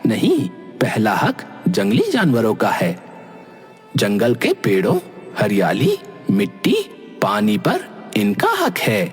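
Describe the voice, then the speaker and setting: A man's voice: medium pitch, wildly swooping pitch, slow pace, husky, heavily acted. A man voicing an indignant cartoon ghost who lectures assertively in defence of forest animals. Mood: determined. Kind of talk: Hindi cartoon voice acting. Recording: long hall reverb, faint music behind.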